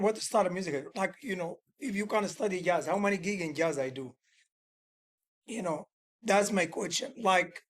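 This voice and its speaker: A man who is a musician and music teacher, talking in a casual workshop setting. He is earnest and rambling, uses loose grammar and often restarts his sentences. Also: high voice.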